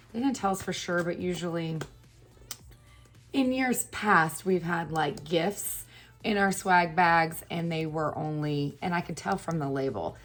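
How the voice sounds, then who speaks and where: A woman with a hoarse, partly lost voice, chatting casually and laughing at herself while doing her makeup on camera. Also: deep voice. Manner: chatty, self-deprecating, laughs often. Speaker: beauty YouTuber. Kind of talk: casual get-ready-with-me chat.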